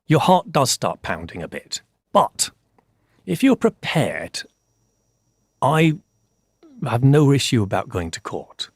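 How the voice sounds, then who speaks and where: Lively-voiced Scottish-born older man explaining. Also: mid-range voice, dry recording, clean studio sound. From Scotland. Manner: measured, explanatory, repeats points for emphasis. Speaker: forensic scientist. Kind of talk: reflective interview answers.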